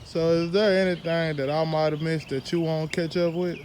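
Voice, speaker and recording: A man's voice: on the high side, fairly even intonation, normal speed, a little mellow, a little hiss or hum. A man doing a casual, slangy interview as the interviewer. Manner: slangy, informal.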